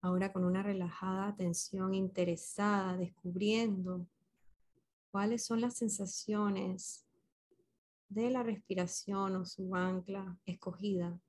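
A woman leading a guided meditation as a facilitator, speaking gently and unhurriedly with pauses between short instructions. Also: medium pitch, fairly even intonation.

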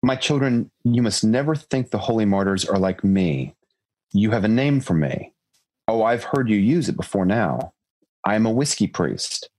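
A man's voice: lowish voice, animated, moderate pace, a little mellow. A man voicing a guilt-ridden drunken priest in a prison cell, earnest and self-reproaching.

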